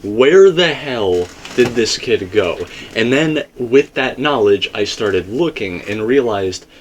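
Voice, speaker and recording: A man's voice: mid-range voice, animated, slow, slight background noise. A man hosting a casual theory discussion, talking in a rambling, emphatic way. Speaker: host.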